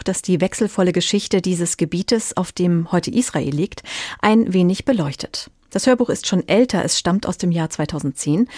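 A woman presenting a radio book-review show in a clear, composed way, introducing titles and asking a critic questions. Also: on the low side, a little mellow, no echo, studio quality.

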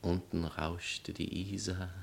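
An actor playing a pompous, theatrical writer and former lover, declamatory and sarcastic in a stage satire. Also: male voice, low voice, little pitch movement, slow pace, gravelly, a little hiss or hum, heavily acted. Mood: urgent, pleading. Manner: declamatory, sarcastic, self-important. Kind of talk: stage satire acting in literary German.

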